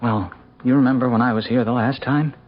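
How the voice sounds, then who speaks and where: An actor playing an anxious, hesitant forty-year-old husband who stammers, in an old-time radio drama. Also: male voice, medium pitch, steady tone, a little fast, lightly acted. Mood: agitated, confiding. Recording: dull, faintly noisy old radio recording.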